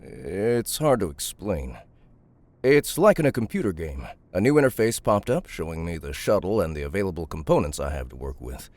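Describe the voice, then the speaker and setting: An actor playing a gruff, confident mechanic in an audiobook drama, talking in a blunt, wry, offhand way. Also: male voice, sits low, very animated, moderate pace, darkish tone, no echo. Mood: thoughtful, casual.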